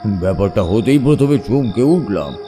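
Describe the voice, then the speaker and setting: A man playing an older village uncle who tells a suspenseful horror tale in an expressive, dramatized way. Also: fairly low pitch, moderate pace, faint music behind, natural voice. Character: older village uncle recounting his eerie boyhood adventure.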